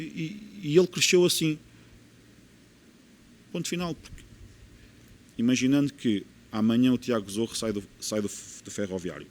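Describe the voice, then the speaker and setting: Portuguese coach explaining tactics earnestly. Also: male voice, mid-range voice, darkish tone. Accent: European Portuguese. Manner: earnest, explanatory, repeats key phrases for emphasis. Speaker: football coach. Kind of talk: podcast interview.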